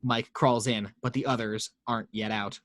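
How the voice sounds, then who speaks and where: A man reading action lines aloud as narrator of a table read, in a steady storytelling way with small slips. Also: mid-range voice, moderate pace, no room sound, studio quality.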